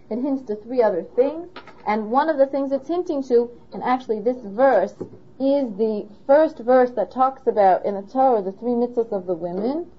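Woman, Torah teacher, patient questioning delivery, dull recording. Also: a little high. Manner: asks the listener questions, patient. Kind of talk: explaining a Torah lesson.

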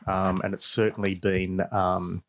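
Middle aged aussie guy, lowish voice, expert guest explaining stuff casually in an interview. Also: flat delivery, a little slow. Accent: Australian. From Perth, Australia. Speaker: expert guest, professor and researcher.

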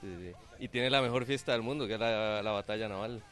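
A man's voice: fairly low pitch, brisk, a bit bright, faint music behind. A man with a Costa Rican Spanish accent, chatting enthusiastically as a musician in an interview, repeating phrases for emphasis. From Costa Rica. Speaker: band drummer interviewed. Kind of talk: casual interview chat.

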